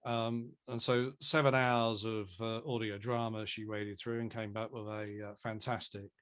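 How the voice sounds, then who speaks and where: A man, an audio drama producer, telling an anecdote in a halting way with wry understatement on a group interview call. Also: fairly low pitch, little pitch movement, fairly bright tone, clear, resonant tone. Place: remote video call.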